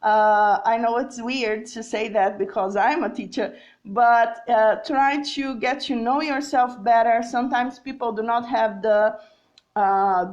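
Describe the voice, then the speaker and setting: A young adult woman from Brazil with a Brazilian Portuguese accent, teaching an English lesson on a live stream, slow and deliberate with many fillers and check-ins. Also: a little high, darkish tone, muffled recording.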